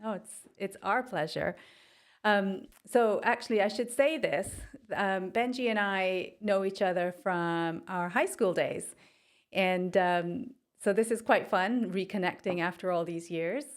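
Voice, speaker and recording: A woman's voice: medium pitch, measured pace. Friendly woman podcast host. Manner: friendly, laughs easily, brief affirmations. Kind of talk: casual interview chat.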